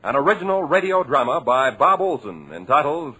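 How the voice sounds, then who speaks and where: A man's voice: on the high side, sing-song, a little fast, very noisy. A male announcer giving a formal sponsor introduction for a 1940s radio drama. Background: music.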